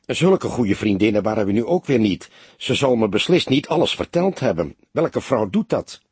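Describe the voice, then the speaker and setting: A man's voice: lowish voice, big pitch swings, moderate pace, darkish tone, husky, no room sound, full character voice. Een man met een Nederlands en hese stem speelt in een luisterboek een jonge kantoormedewerkster die ontwijkend en stug antwoordt. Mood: guarded, curt. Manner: evasive, hesitant, reluctant. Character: guarded, curt young female office worker under questioning.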